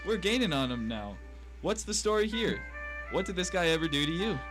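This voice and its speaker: A man's voice: high voice, animated, fairly quick. Guy doing a brash folksy noir radio wise guy, voice bounces around, music behind him. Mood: cocky, chatty. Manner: theatrical, old-timey slang. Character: brash, folksy old-timey American tough guys, gangster and cabbie. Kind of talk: noir radio drama acting.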